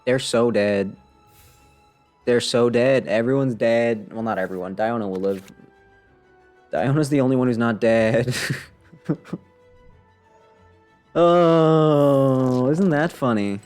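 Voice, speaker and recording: A man's voice: medium pitch, unhurried, long pauses, darkish tone. A man doing casual live gameplay commentary, thinking aloud in a self-deprecating way as he mutters and exclaims. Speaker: video game livestreamer. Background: game music.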